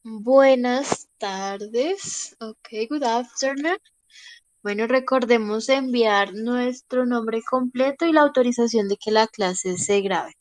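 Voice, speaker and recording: A woman teacher talks slowly over a video call. Her voice sounds stuffed up and nasal from a cold and fades now and then. She pitches it fairly high and patiently pads her instructions with fillers, and the sound is dry and close. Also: no room sound. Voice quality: voice sometimes fading. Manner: patient, unhurried, with many fillers. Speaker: English teacher. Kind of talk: online class instructions.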